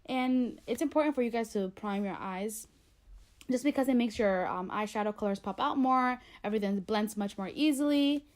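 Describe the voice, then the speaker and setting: A woman's voice: fairly high pitch, moderate pace, a little mellow. Young female beauty vlogger, stuffy from a cold, rambling and chatty, close camera mic in her bedroom. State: congested from a cold. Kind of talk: makeup tutorial narration. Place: bedroom at home.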